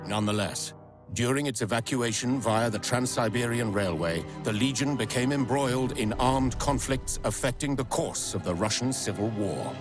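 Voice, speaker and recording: An actor playing a stern, seasoned army major who narrates, speaking in a measured, formal way. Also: male voice, medium pitch, close to an everyday voice. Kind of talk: scripted game voice-over. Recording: game audio with music behind.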